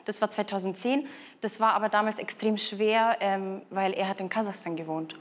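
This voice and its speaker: A woman in her twenties, a casting show contestant from Kazakhstan, chatting in an excited, emotional way in a bright TV studio. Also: medium pitch, moderate pace, big-room sound. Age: 20s. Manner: breathless, gushing, emotional. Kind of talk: excited casual chat.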